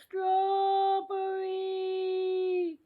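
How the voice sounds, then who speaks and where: A child voicing a menacing ghostly accuser in a story, with slow, drawn-out, sing-song threats. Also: extremely high pitch, completely flat delivery, very noisy, exaggerated voice. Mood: menacing, accusing. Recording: muffled, dull recording.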